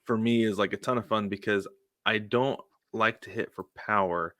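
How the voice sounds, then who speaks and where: A man with a somewhat low voice chats casually as a sports podcast co-host. The sound is close and dry, and his delivery is informal and anecdotal, sprinkled with "like" and "you know". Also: steady tone, moderate pace, fairly bright tone, no echo.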